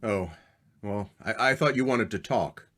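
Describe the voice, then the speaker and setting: A man playing an awkward, evasive, devoted husband in an old-time radio play, halting in his delivery. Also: lowish voice, a bit bright. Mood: nervous, evasive. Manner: hesitant, halting.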